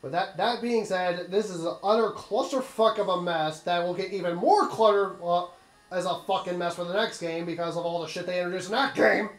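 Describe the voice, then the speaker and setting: A man's voice: high-pitched, a little fast, reverberant. A man doing casual gaming commentary, blunt and sweary, giving rambling opinions on a story. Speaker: let's play commentator.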